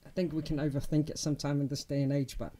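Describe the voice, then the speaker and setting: A young man with a New Zealand accent, chatting as a guest in a casual interview, relaxed and full of ums and restarts. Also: a little high, quick pace.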